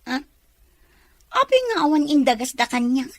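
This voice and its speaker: A woman acts a mocking, curious village gossip with a high, very lively voice. Her delivery is inquisitive and catty, recorded dry for an audio drama. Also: normal speed, dry recording. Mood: nosy. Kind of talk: Ilocano audio drama acting.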